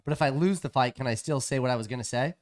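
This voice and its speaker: A man co-hosting a casual podcast chat, quick to argue back and pick apart his partner's points. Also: mid-range voice. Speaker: podcast co-host.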